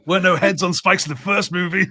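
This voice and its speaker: A man co-hosting a podcast in casual watch party chat, halting and self-deprecating as he talks. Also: high-pitched, steady tone, pushed and bright. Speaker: podcast co-host.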